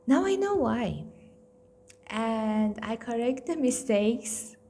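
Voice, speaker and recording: Blunt Indian-accented woman, slow talk. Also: fairly high pitch, big pitch swings. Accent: Indian-tinged English. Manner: candid, blunt, swears freely, self-mocking. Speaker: nutrition coach. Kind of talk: casual weight-loss vlog.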